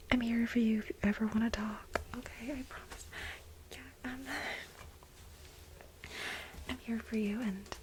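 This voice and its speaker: A woman's voice: a little high, poor audio. Woman acting a whispering, lovestruck, nervous young assistant. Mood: gossipy, flattering, then flustered, lovestruck. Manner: breathy, halting, gushing, nervous. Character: infatuated, jealous, flustered young female research assistant to her boss. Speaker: ASMR role-play performer. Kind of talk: soft-spoken whispered ASMR role play.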